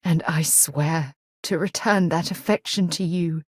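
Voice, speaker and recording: A woman's voice: deep voice, measured pace, slightly rough, no echo, studio quality. A woman playing a composed, principled space explorer and former navy officer in a video game, speaking in an articulate, earnest way. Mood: tender, vulnerable. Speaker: video game companion character. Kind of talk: acted game dialogue voice lines.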